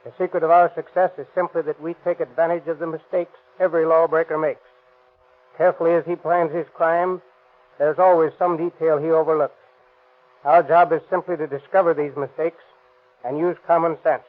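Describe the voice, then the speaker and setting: An American man speaks as a police officer in a scripted radio address. His somewhat high voice is formal, stern and declarative. The old 1930s recording is thin and tinny. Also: little pitch movement, brisk.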